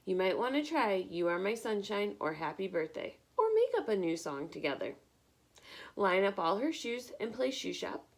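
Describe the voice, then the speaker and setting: A woman reading a picture book aloud like a teacher, expressive and encouraging, pausing to put questions to young listeners. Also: medium pitch.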